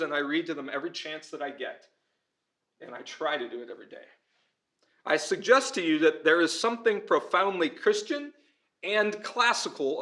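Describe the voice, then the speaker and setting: Higher-voiced man lecturing in an echoey room. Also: a bit bright. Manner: earnest, informal, often adds "right?" as a check. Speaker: pastor, schoolteacher and conference speaker. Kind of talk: conference lecture with audience questions. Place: room at a church and school, before an audience.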